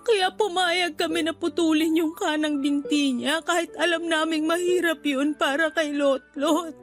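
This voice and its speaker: A woman's voice: very high voice, fairly even intonation, unhurried, soft background music. A woman acting a tearful, guilt-ridden mother in a radio drama, her voice breaking with crying. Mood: tearful, remorseful.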